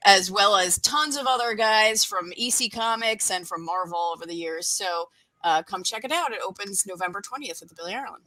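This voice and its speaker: A woman's voice: mid-range voice, a little fast, pushed and bright, dry recording, low-quality recording. A woman chatting casually and enthusiastically, as a panelist giving an informal plug. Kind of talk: casual chat, promotional plug.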